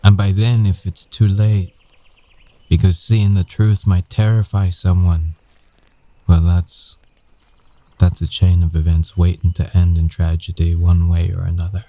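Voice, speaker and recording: Man playing shaken werewolf boyfriend, deep pitch, very mellow dark tone, hesitant, guarded, close ASMR drama style. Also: little pitch movement. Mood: grave, reasoning. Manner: halting, hesitant, earnest, with long pauses. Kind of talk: intimate ASMR boyfriend roleplay acting.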